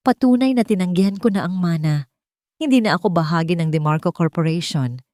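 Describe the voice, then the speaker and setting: A woman voicing a quiet, remorseful young man who speaks softly and low. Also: brisk, no echo, clean studio sound, close to an everyday voice. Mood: tender.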